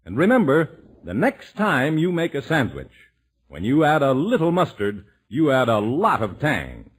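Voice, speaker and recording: Male vintage radio pitchman: husky tone, hugely expressive swoops, sales delivery. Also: measured pace. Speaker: commercial announcer.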